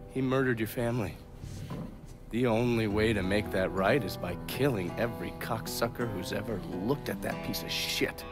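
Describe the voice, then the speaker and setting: A man's voice: fairly low pitch, close to an everyday voice. A man playing a cynical, hot-tempered agent in a video game, brusque and sardonic. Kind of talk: cinematic video game acting. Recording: loud music behind the voice.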